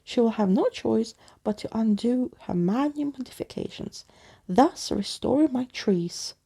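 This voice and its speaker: A woman plays an old living skeleton gentleman from a children's storybook. He is pompous, wordy and unflappable, with a very creaky, slightly husky voice and florid, muddled phrasing. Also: fairly high pitch, expressive, measured pace, full character voice. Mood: unruffled. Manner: florid, grandiose wording with muddled words.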